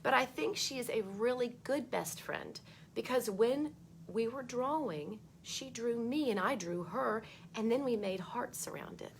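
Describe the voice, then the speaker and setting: A woman reading a picture book aloud for children, expressive, with chatty asides spoken straight to young listeners. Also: a little high, unhurried, slight background noise.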